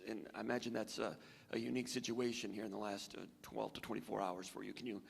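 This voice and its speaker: A man's voice: on the low side, level tone, quick pace, vocal fry, slight background noise. A man, a sports reporter, asking a long, winding multi-part question at a press conference. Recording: long echoey hall reverb.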